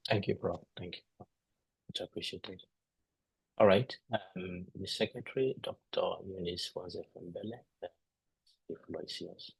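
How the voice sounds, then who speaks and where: A man presenting a board at an online ceremony, speaking haltingly with frequent fillers. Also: deep voice, steady tone, gravelly, husky, no room sound, rough recording. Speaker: master of ceremonies. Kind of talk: virtual ceremony hosting.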